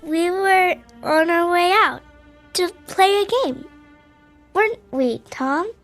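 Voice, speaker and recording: A woman's voice: extremely high pitch, lively intonation, slow, fairly bright tone, full character voice. An actress playing a subdued, earnest young girl in a radio drama, with a hesitant manner. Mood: anxious. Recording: studio radio play with music underneath.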